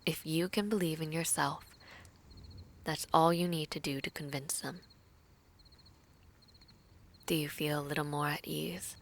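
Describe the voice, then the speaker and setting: Woman acting young princess, low soft voice, composed, earnest, breathy, diplomatic, audio roleplay. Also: fairly even intonation, unhurried, pauses often. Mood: tender, reflective.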